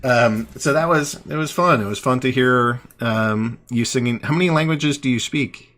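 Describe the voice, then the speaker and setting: A man in his thirties hosting a live online call-in show, relaxed and chatty, with many fillers and restarts. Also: medium pitch, normal speed, slight background noise. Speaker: host. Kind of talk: casual live call-in chat.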